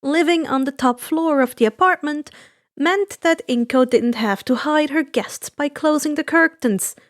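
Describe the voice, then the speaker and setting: High-pitched woman reading a story. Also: a little slow, no echo, studio quality. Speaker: fan fiction reader. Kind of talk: scripted storybook narration with character voices.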